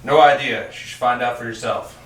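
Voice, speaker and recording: Man acting old male manager, kindly, table read, distant mic, clear background noise. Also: medium pitch, steady tone, brisk, a bit bright, roomy sound, natural voice. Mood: reassuring, amused. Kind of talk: amateur script table read.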